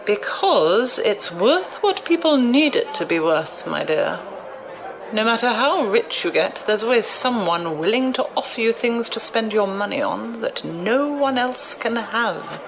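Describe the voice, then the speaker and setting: A woman's voice: a little high, expressive, a little slow, background noise. A woman playing an imperious, shrewd, wealthy older noblewoman in an audio drama, commanding and condescending. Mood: amused, superior.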